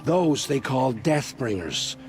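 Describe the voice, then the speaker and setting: Grim, rueful scrounger turned informant. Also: male voice, mid-range voice, animated, slow pace, over music. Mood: ominous. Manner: grim, colorful storytelling. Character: coerced male scrounger turned informant, rueful, fearful, earthy. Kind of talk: dramatic video game acting.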